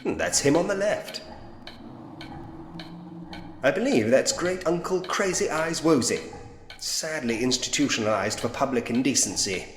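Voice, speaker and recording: An actor playing a sceptical, dryly witty Edwardian gentleman investigator in a point-and-click mystery game. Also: mid-range voice, animated, a little slow, pauses often, close to an everyday voice. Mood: wry musing. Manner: wry, muttering to himself, old-fashioned gentlemanly phrasing. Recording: echoey hall reverb, music underneath.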